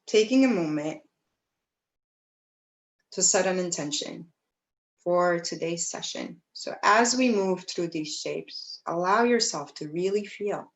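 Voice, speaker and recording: A woman teaching yoga, guiding breathing and meditation in a gentle, soothing way, with pauses between phrases. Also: on the low side, expressive, rough recording. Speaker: yoga teacher leading a class. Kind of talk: guided breathwork and meditation instruction.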